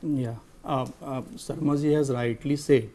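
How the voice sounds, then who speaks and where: A man with an Indian accent speaking as a lawyer on a news panel, giving legal commentary in halting phrases. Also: medium pitch, steady tone, dark tone.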